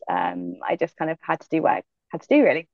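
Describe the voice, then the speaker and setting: A young woman from Jamaica with a low, gravelly voice talks through her answers in a relaxed, thoughtful way, pausing on frequent fillers. The sound is dry and a little dull. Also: no echo. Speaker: guest athlete. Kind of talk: interview answers.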